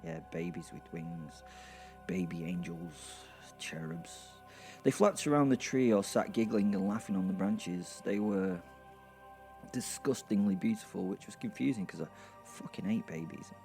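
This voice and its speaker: Male actor as irreverent werewolf narrator, low dark voice, hesitant wry asides, horror-comedy audio drama, faint music behind. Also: measured pace. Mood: sardonic. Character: irreverent, sardonic, foul-mouthed British male werewolf narrator.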